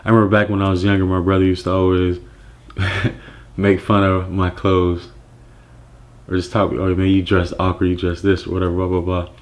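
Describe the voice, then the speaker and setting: A man from Nigeria, raised in the United States, telling his personal story as a clothing brand founder, reflective and relaxed with frequent fillers and restarts. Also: low voice, normal speed.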